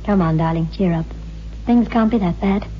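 An actress playing a troubled, coaxing wife in a 1960s radio horror drama, with a dramatic, foreboding delivery. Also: female voice, mid-range voice, normal speed, a little hiss or hum, lightly acted. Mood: concerned. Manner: dramatic, foreboding narration and pleading dialogue. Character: troubled wife recounting a sinister tale, practical and coaxing. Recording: dull old radio recording, music behind.

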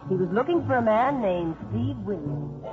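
An actress playing a crisp, formal female court investigator who also narrates, in a 1950s radio drama. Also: mid-range voice, expressive, normal speed, lightly acted. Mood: composed, storytelling. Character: prim, composed young female court welfare investigator. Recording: muffled 1950s radio recording, music behind.

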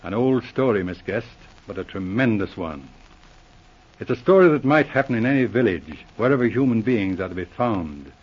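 An actor playing a brisk, no-nonsense male police inspector in a 1940s radio drama, who speaks in a crisp, commanding, matter-of-fact way. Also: fairly low pitch, animated. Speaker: lead investigator. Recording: dull lo-fi 1940s radio recording.

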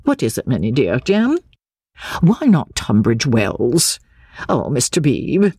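A woman's voice: low voice, vocal fry, darkish tone, slightly rough, no room sound, clean studio sound, voice pushed up, exaggerated voice. A woman reading a storybook as a prim, fretful spinster cousin, martyred, secretive and intense. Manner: evasive, intense, self-pitying, sometimes dropping to an urgent whisper.

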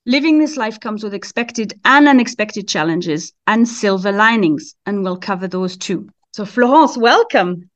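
A woman's voice: medium pitch, expressive, a little slow, few pauses. A woman from Switzerland presenting and interviewing as a podcast host, in a slightly lo-fi recording.

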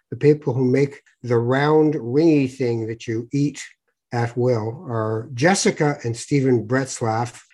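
A man's voice: mid-range voice, darkish tone, breathy edge. A man from Toronto hosting a podcast interview, chatty, with fillers and restarts. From Toronto, Canada. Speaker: podcast host. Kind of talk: conversational interview.

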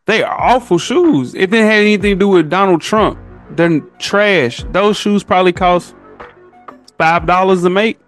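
A man's voice: high voice, moderate pace, faint music behind. A man chatting casually on a podcast, opinionated and emphatic, using slang and repeating his points for stress. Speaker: podcast guest.

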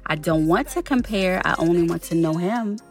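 Actress as a low-voiced, determined devoted girlfriend. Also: normal speed, close to an everyday voice. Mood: firm, playful. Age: 30s. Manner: frank, earnest. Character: devoted thirty-five-year-old girlfriend, principled and determined. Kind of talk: scripted audio drama acting. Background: background music.

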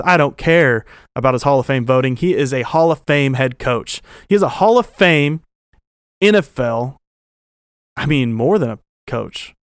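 A man's voice: mid-range voice, no echo, clean studio sound. A man in his twenties giving an opinionated, informal football commentary as a show host, blunt and emphatic. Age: 20s. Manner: emphatic, blunt, informal with mild slang swearing. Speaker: sports fan show host.

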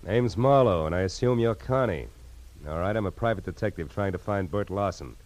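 An actor playing a hard-boiled, wisecracking private detective in 1940s radio style, with a sardonic, clipped, slangy delivery. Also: male voice, sits low, fairly quick, darkish tone, natural voice. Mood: wry, cool. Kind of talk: 1940s radio acting with first-person noir narration. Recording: old radio recording with music.

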